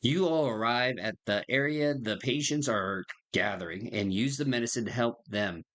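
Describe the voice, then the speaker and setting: A man on a game livestream, reading the story text aloud in a plain, slightly halting way. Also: mid-range voice. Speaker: game streamer. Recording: loud game music behind.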